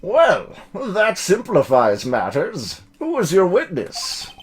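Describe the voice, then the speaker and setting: Man putting on a booming, pompous old judge. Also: huge pitch swings, slow, slightly rough, full character voice. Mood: measured. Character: stern, pompous elderly male courtroom judge.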